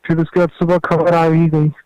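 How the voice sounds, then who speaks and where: High, clear male voice on a phone call. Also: completely flat delivery, moderate pace, darkish tone, dry recording. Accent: Bihari. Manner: plain, step-by-step telling. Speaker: personal assistant to a politician, interviewee. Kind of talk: recounting events on a phone call. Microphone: telephone. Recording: dull, dry recorded phone call.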